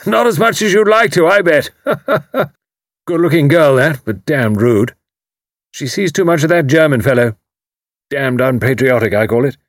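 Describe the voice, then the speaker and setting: A man voicing a hearty, blustering older British commander with an upper-class English accent and a loud, barking, clipped delivery in an audiobook. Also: fairly high pitch, moderate pace, dry recording, studio quality, pitched above the performer's own voice, close to an everyday voice. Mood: blustering, indignant. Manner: bluff, emphatic, clipped phrasing.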